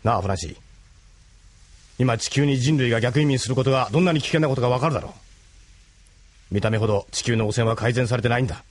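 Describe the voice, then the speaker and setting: An actor playing a steady, fatherly captain of a resistance ship in an anime audio drama, commanding yet encouraging. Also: medium pitch, brisk, pauses often, lightly acted. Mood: earnest, confiding.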